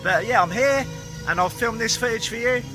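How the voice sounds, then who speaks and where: A man vlogging at a theme park in the evening, chatty and tired, his voice hoarse from a cold. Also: very high-pitched, moderate pace, music in the background. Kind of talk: casual vlog to camera.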